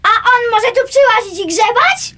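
An actress playing a sassy, sarcastic, streetwise runaway little girl in a radio play, quick to snap back. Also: very high-pitched, animated, pushed and bright, heavily acted. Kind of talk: naturalistic radio drama acting.

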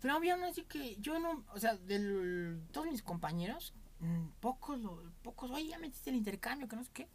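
A man's voice: very high-pitched, very animated, a little fast. A young Mexican man with a Mexican accent, telling candid stories in a casual, rambling podcast chat as its host.

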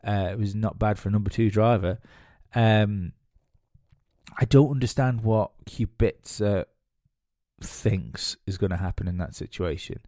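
Podcast host, male, stuffy with a cold, rambling casual chat in a deep mellow voice. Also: measured pace, no room sound, studio quality. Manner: rambling, many fillers and restarts. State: has a cold, nasal and sniffly. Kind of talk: casual solo commentary.

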